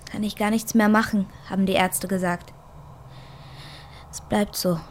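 Troubled young woman, medium pitch, acted. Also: steady tone, moderate pace, slight background noise, lightly acted. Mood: apologetic, vulnerable. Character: troubled, hard-drinking young woman with a scar. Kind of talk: German radio drama dialogue.

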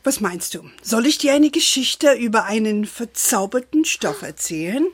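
An actress playing an older, indulgent grandmother telling stories in a children's radio play, with a playful, calm manner. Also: fairly high pitch, slightly rough, no room sound. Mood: confiding. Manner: unhurried, sprinkles English endearments.

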